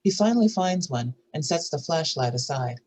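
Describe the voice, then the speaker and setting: A woman with a husky voice reads scripted narration and stage directions for a drama table read. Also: low-pitched, expressive, normal speed, a little mellow, a little hiss or hum.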